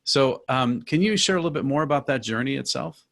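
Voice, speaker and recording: A man's voice: mid-range voice, lively intonation, a little fast, vocal fry, fairly bright tone. A man hosting an interview, upbeat and affirming as he asks questions. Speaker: host. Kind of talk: fireside chat interview.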